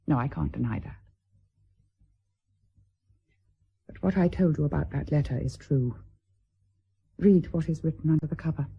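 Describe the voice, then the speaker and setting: An actress playing a proud, nervous, wounded estranged wife, middle-aged, with a British accent and a formal, hesitant manner in a period radio drama. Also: female voice, sits low, brisk, gravelly, slightly rough, muffled recording, exaggerated voice. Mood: defensive.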